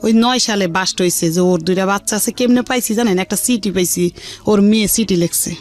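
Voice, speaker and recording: A woman's voice: mid-range voice, fairly quick. A middle-aged woman with a colloquial Dhaka Bengali accent tells her own life story in an earthy, unpolished way, acting out what people said. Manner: earthy, unpolished, animated retelling with reported dialogue. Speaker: radio show guest telling her life story. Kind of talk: candid personal storytelling in conversation. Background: background music.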